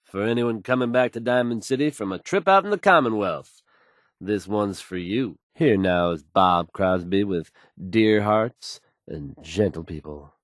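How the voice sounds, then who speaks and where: Game radio announcer, confident, polished, fairly low pitch, assured delivery, dry close recording. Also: male voice, animated, a little slow, no echo. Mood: confident, easygoing. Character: confident, smooth male radio DJ and newsreader. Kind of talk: radio song introductions and news reading.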